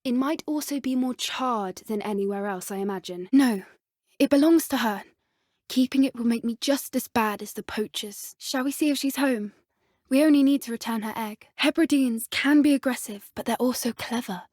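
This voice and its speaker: A gentle teenage witch from a video game, acted by a woman, speaks in a high, youthful British voice. She is earnest and thoughtful, a soft-spoken animal lover. The sound is close and dry. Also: moderate pace, dry recording, close to an everyday voice. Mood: earnest, concerned.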